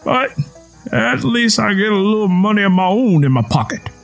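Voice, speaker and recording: A man voicing a gruff old tenant farmer with a strained, choked voice and blunt, folksy talk. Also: high voice, animated, moderate pace, faint music behind, voice pushed up. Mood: gruff, matter-of-fact. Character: gruff old backwoods Southern father-in-law, hard-drinking tenant farmer.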